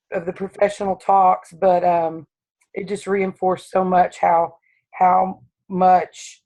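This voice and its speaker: Raspy-voiced woman, freshly woken, earnest caller with halting speech, mid-pitched. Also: darkish tone, poor audio. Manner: halting, earnest, many restarts. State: just woke up. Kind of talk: casual sharing on a call.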